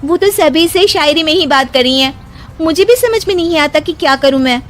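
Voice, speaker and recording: A woman voicing a feisty young daughter-in-law in an animated story, pointed and assertive. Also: high-pitched, normal speed, pitched above the performer's own voice. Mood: complaining. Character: feisty young daughter-in-law who answers back in verse. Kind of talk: animated story character acting.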